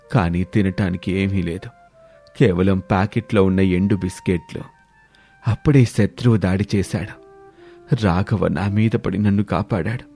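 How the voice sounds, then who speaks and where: A man plays an old, patriotic army veteran in a storybook drama. He speaks solemnly and earnestly in a low, very mellow voice, with soft music behind. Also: husky.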